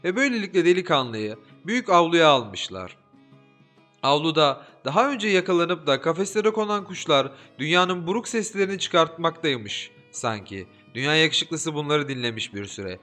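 A man reading a folk tale aloud as an audiobook narrator, expressive and lively, giving the characters their own voices. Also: fairly high pitch, normal speed. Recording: soft background music.

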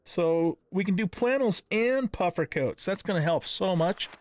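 Middle-aged man, high-pitched voice, casual gaming commentary, folksy thinking aloud, background noise and clicks. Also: expressive, a little slow. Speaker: gaming channel host. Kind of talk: casual let's-play commentary.

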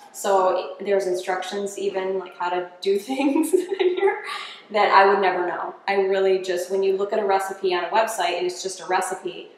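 A woman in her thirties talking candidly and self-deprecatingly about learning to cook, interviewed in her home kitchen on a distant camera mic. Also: mid-range voice. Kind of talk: casual interview while cooking. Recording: roomy kitchen sound with faint background hum.

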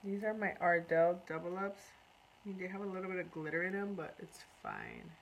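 A woman's voice: on the low side, sing-song, fairly quick, gravelly, slight background noise, low-quality recording. A woman in her thirties from Iran, chatting casually on a live makeup tutorial and reading out viewer comments while she works. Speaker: makeup artist. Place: small indoor room before a curtain.

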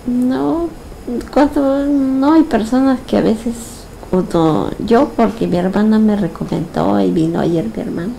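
Slow, gravelly older woman. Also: medium pitch, a little hiss or hum, rough recording. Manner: slow, hesitant, with restarts and soft agreement. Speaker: hypnosis client. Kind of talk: casual talk after a session. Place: lying down in an indoor therapy room.

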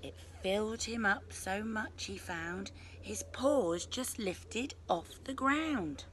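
A middle-aged woman reading a children's story aloud expressively, with little asides to young listeners. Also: medium pitch. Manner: slow, animated delivery with asides to young listeners. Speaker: teacher reading a picture book to a class. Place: outdoors in a leafy garden. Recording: noisy outdoor ambience.

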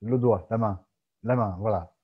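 A man from Aix-en-Provence hosting a casual video-call Q&A, relaxed and chuckling, with frequent hesitant restarts. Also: fairly low pitch, normal speed, breathy edge. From France, Aix-en-Provence. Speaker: podcast host and moderator. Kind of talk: casual Zoom Q&A chat. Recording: dull muffled call audio.

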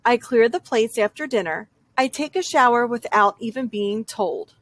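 A woman reading a children's picture book aloud as a young girl's story, with dramatic, drawn-out emphasis. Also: fairly high pitch, expressive, a bit bright, no room sound.

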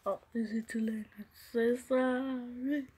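A young female livestreamer chatting casually and excitably on a phone while making prank calls. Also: fairly high pitch, slow. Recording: noisy amateur phone recording with clicks.

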